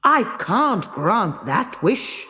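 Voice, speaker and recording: Actor voicing a slow, blustering lake giant. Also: medium pitch, sing-song, pushed and bright, long reverb tail, dull audio, exaggerated voice. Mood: alarmed, pleading. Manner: drawn-out, exclaiming, theatrical. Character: fearsome green-bearded lake giant, male, blustering and pleading. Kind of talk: children's storybook character reading.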